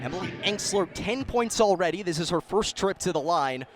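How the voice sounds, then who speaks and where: A man in his twenties calling live sports play-by-play in energetic, clipped phrases. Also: sits high, moderate pace. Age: 20s. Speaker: play-by-play announcer. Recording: busy game crowd behind. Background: sports crowd and court noise.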